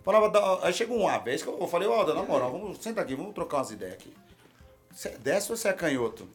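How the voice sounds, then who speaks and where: A man with a Brazilian accent, a retired footballer, telling animated stories in a casual chat and repeating his phrases. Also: high voice, very fast, faint music behind. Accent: Brazilian Portuguese.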